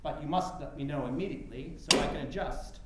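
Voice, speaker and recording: A man's voice: fairly high pitch, fast talker, natural voice. A man playing a rich, pompous political showman who woos and interrupts in grand oratory, in a staged play reading. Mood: boastful, expansive. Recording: very echoey hall, faint background noise.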